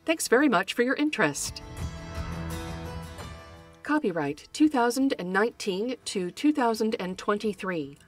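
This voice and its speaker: A woman hosting a folklore podcast, reading a scripted introduction in a bright, eager way with playful asides. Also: a little high, animated, unhurried, long pauses, soft background music.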